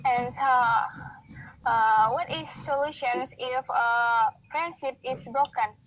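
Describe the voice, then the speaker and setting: A woman's voice: high voice, slow pace, fairly bright tone, slight background noise. A young woman from Indonesia with an Indonesian accent, an English student asking questions hesitantly with many fillers on a video call. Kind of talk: online English practice call. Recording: dull lo-fi video call audio.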